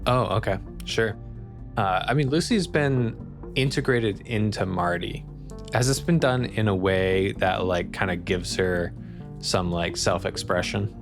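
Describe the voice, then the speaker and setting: Low, gravelly male game master. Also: measured pace, over music. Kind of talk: casual improvised game narration.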